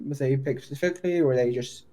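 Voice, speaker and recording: Man playing a mellow, persistent police detective. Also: medium pitch, quick pace, lightly acted. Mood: probing. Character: male police detective questioning a suspect, courteous, persistent. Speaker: role-player in an online game. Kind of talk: improvised roleplay interrogation.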